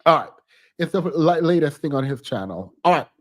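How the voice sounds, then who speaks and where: A man's voice: fairly high pitch, animated, moderate pace. A man hosting a commentary channel, talking casually and reflectively about politics while congested from a cold. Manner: chatty and reflective, frequent ums and restarts, occasional laughs.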